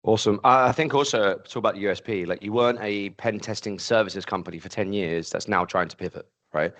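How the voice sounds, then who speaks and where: A man's voice: fairly low pitch, quick pace, a bit bright. A man hosting an interview, chatty and rambling, with lots of "like" and "kind of". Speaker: podcast host and interviewer.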